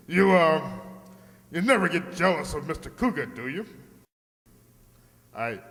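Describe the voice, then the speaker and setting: A man's voice: on the high side, sharp tone. A man playing a haughty, temperamental portrait painter with sardonic humor in a comic radio play, imperious and pompous. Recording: reverberant hall stage recording.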